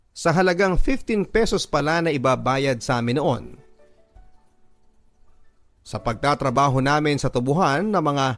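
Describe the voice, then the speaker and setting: A man reading a listener's letter aloud as a first-person story, in a measured and earnest way. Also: mid-range voice.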